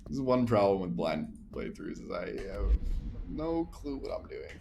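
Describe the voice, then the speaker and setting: Guy with a low voice streaming a game, rambly chatty commentary, little bit of background hiss. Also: sing-song, moderate pace, vocal fry. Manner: chatty, thinks aloud, mutters menu text. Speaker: gaming streamer. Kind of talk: casual let's play commentary.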